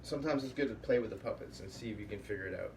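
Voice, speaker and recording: A man's voice: lowish voice, racing. Casual, rambling man, slightly distant from mic. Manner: rambling, thinking aloud. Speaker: puppetry workshop host. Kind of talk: casual, improvised tutorial. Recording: distant mic with faint room noise.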